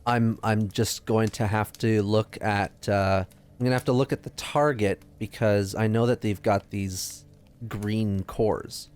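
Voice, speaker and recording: Questioning male gamer with lowish voice. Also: fairly even intonation, measured pace, a little mellow, a little hiss or hum. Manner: hesitant, questioning, deliberating. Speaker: player in a tabletop roleplaying game. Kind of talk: casual in-game table talk.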